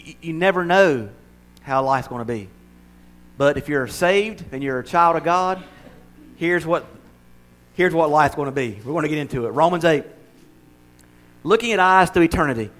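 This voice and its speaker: A man's voice: high voice, a bit bright. An older man preaching a conversational sermon as pastor, mixing humor with emphatic, building repetition. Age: 60s.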